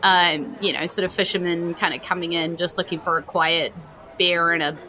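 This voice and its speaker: A woman's voice: fairly low pitch, steady tone, normal speed, pushed and bright, some chatter behind. A woman with a New Zealand accent running a tabletop game, improvising descriptions in a colloquial, conversational way. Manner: conversational, colloquial, piecing descriptions together as she goes. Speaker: game master. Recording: music playing behind the voice.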